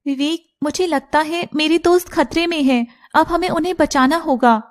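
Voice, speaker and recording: A woman's voice: high voice, normal speed, pitched above the performer's own voice, close to an everyday voice. A woman voicing a young, loyal serpent woman in a cartoon, earnest and dramatic. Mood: tender, worried. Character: young female shape-shifting serpent woman, emotional and loyal. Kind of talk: Hindi cartoon character dialogue.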